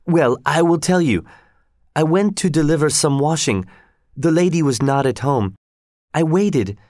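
A man's voice: on the high side, unhurried, no echo, studio quality, pitched above the performer's own voice. A man voicing a pretty, polite young French woman, frightened and pleading, in an audiobook story. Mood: explaining, pleading. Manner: pleading, excited, politely ironic.

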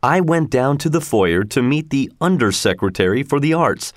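A man's voice: medium pitch, lively intonation, moderate pace, no echo, studio quality, lightly acted. A man voicing a pompous, irritable male opera manager in an audiobook, speaking with accusing emphasis. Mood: puzzled, defensive.

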